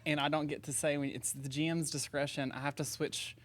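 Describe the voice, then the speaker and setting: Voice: medium pitch, level tone, fairly quick. A player at a tabletop game, chatting casually. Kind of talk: casual chat.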